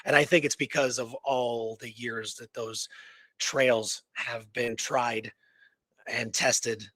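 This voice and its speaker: A man's voice: mid-range voice, fairly even intonation, slow pace, fairly bright tone, no room sound. A man co-hosting a history show in casual, unscripted chat, rambling and conversational with emphatic repetition. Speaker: co-host of an Old West history show.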